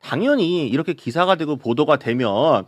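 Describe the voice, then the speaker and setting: A man's voice: a little high, lively intonation, moderate pace, dry recording. A man, a reporter guest on a talk show, explaining earnestly and building emphasis as he goes.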